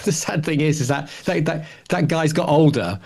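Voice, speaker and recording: A man's voice: on the high side, moderate pace, darkish tone, slightly rough. A middle-aged man with a British accent co-hosting a podcast, chatting casually in a rambling, stop-start way. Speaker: podcast co-host. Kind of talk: casual chat about television.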